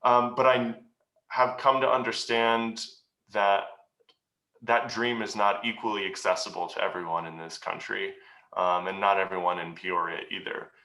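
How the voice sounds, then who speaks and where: Man, guest speaker, reflective, halting, sharp bright tone, echoey room. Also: on the low side, flat delivery. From United States. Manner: reflective, earnest, halting with many pauses. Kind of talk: answering questions at a meeting. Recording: roomy echo.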